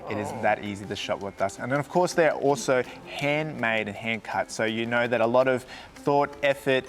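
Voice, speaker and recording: A man's voice: medium pitch, moderate pace, fairly bright tone. A man, co-hosting a live shopping show with enthusiastic, rambling patter that often stumbles over its words. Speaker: shopping channel co-host. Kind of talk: live shopping broadcast presenting.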